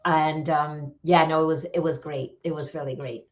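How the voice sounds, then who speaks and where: New york lady podcast guest, deep voice, candid chatty, muffled lo-fi sound. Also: steady tone, moderate pace. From New York area, United States. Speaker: podcast guest, business coach. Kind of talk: casual personal storytelling. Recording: dull, muffled lo-fi audio.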